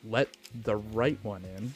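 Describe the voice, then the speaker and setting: Lower-pitched chat from a foul-mouthed man. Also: normal speed, over music. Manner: swears freely, crude joking. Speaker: film podcast host. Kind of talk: casual film chat.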